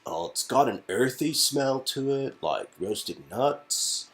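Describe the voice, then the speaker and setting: A man's voice: medium pitch, lively intonation, slow pace, fairly bright tone, voice pushed up. A man reading a playful, easygoing young romance-game lead with a teasing, mildly sarcastic manner.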